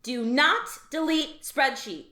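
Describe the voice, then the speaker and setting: A woman's voice: high voice, takes it slowly, bright, forceful tone, echoey room, exaggerated voice. A woman playing a frazzled alien office worker, exasperated and sarcastic. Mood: frustrated. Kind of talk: audio drama.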